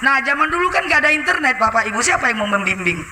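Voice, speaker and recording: A woman from Indonesia talks through a face mask as she shares a church testimony, chatty and halting, with frequent turns to speak straight to the congregation. Her voice rings around a reverberant hall. A steady wash of room noise sits underneath her. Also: high-pitched. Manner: chatty, many hesitations, often addresses the audience. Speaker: lay preacher giving testimony. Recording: echoey hall with background noise.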